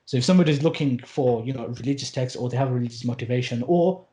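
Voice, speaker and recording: Soft-toned man in his late twenties. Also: mid-range voice, fast. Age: late 20s. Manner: rambling with frequent restarts and fillers, earnest, wry asides. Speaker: podcast guest, Arabic teacher. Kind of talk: casual conversational interview.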